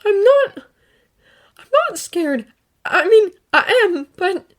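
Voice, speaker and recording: A woman voicing a distraught teenage boy, a hero student, crying and shouting through his anger. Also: very high voice, fairly quick, pitched above the performer's own voice. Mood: tearful anger. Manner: tearful, breaking, shouting outbursts.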